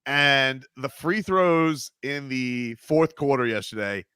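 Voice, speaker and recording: A man's voice: medium pitch, animated, slow pace, bright, forceful tone, clear, resonant tone, dry recording. A man hosting sports talk radio, rambling casually with lots of fillers and laughs. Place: radio studio. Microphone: studio mic.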